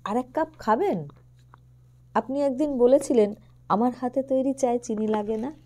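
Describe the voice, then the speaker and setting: A woman's voice: a little high, normal speed, mellow, voice pushed up, close to an everyday voice. Young heroine for an audio story: sweet, playful and teasing, voiced by a woman in a lifted, lilting tone.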